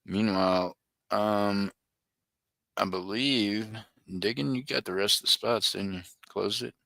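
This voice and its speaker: A man's voice: lowish voice, measured pace, vocal fry, sharp tone, no echo. A middle-aged man hosting a live auction stream, chatting and joking with viewers by name. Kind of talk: casual livestream chat.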